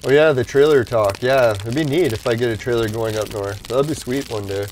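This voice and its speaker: A man with a middle-pitched voice that is wearing out, chatting loosely with his stream viewers. He rambles in a laid-back way and laughs often. Faint noise sits under the voice. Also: fairly quick, a little mellow, low-quality recording. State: losing his voice. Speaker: livestream host. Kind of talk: casual chat with live viewers.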